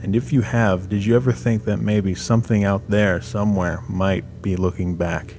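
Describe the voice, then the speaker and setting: A man narrating a radio drama in a measured, solemn storytelling manner. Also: on the low side, steady tone, darkish tone, faint music behind.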